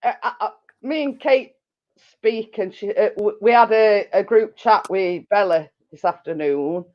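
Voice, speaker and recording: A woman's voice: mid-range voice, unhurried. A woman hosting a casual chat with friends, giggling and stumbling over her words. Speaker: host. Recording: dull lo-fi sound.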